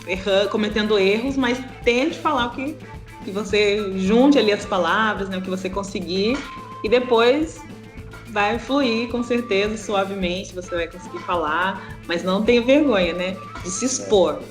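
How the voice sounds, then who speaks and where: A woman's voice: mid-range voice, moderate pace. A woman with a Brazilian Portuguese accent recounts her experiences in a casual, storytelling way. Her voice sits in an echoey room, with faint music underneath. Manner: warm storytelling with tag questions. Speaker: English teacher and podcast guest. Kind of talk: casual interview chat.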